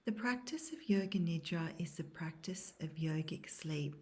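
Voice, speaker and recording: A woman guiding a scripted yoga nidra meditation in a soft, breathy, near-whispered voice, calm and measured. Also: sits low. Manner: calm, measured, soothing instruction. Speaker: guided meditation instructor.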